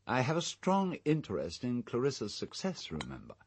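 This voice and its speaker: An English actor in a radio drama playing an urbane, fastidious gentleman host, wry and formal in manner. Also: mid-range voice, huge pitch swings, slight background noise. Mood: dry, measured. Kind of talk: British radio drama acting.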